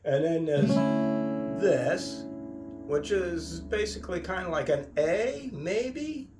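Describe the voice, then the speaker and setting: A man giving an informal guitar lesson, thinking aloud in a musing, halting way and often checking in with a questioning tag. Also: fairly high pitch, lively intonation. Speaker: guitar teacher. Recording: roomy, distant mic, loud guitar. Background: acoustic or electric guitar playing.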